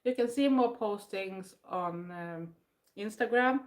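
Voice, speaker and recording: An older woman with a Swedish accent from the southwest of Sweden chats casually to the camera. She speaks English as a second language, hesitating with frequent fillers and restarting phrases. Her voice sits at an ordinary middle pitch. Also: slow. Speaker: vlogger. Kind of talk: casual chat.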